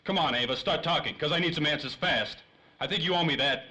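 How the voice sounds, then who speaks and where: A man's voice: on the high side, quick pace, sharp tone, low-quality recording. A man playing an impatient, wisecracking, slangy tough guy in 1930s radio drama style. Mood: impatient, sarcastic. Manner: slangy, snappy. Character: hard-boiled, impatient, wisecracking male 1930s detective type. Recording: faint noise, light ambience.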